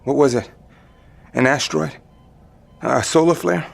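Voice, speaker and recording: A man acting in an audio drama as a suspicious confined patient, terse and questioning, with a gravelly voice. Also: fairly high pitch, measured pace, exaggerated voice. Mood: stunned. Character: confined male patient, suspicious, demanding answers.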